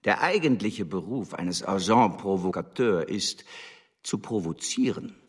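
A man's voice: low-pitched, expressive, unhurried, slightly rough, full character voice. An actor playing a contemptuous, condescending embassy official who scolds his agent with sarcasm in a radio drama. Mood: sarcastic, lecturing.

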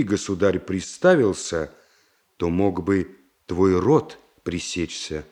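Deep, slow, ceremonial boyar. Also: male voice, a little mellow. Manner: ceremonial, declamatory. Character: grave boyar solemnly reading out a formal petition. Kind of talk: classic Russian stage tragedy acting.

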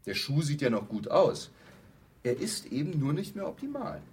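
A man's voice: fairly high pitch, sing-song, normal speed, darkish tone, a little hiss or hum. An actor playing a glib, self-assured advertising man who explains matter-of-factly and asks rhetorical questions in a radio play. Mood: eager, calculating. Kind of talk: German radio play, conversational monologue acting.

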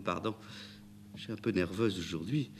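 A man's voice: moderate pace, a little mellow, a little hiss or hum. A man voicing a nervous, bewildered young woman in a dramatic reading of a play, breathless and pleading. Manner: breathless, pleading, high-strung.

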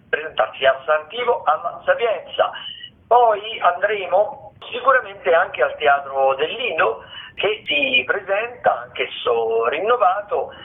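A man presenting theatre listings as a radio host, in a halting delivery with frequent self-corrections. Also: high-pitched. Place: radio broadcast. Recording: muffled narrowband telephone-like radio audio.

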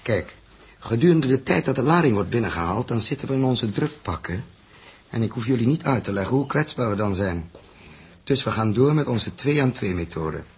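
A man playing a steady, authoritative spaceship captain with a rough, husky voice in a 1960s radio drama. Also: mid-range voice, moderate pace. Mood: firm command. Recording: old 1960s radio recording.

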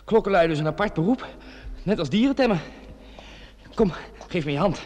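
A man's voice: sits high, expressive, a little fast, a little mellow. A young man playing a cocky, coaxing village suitor in a radio play.

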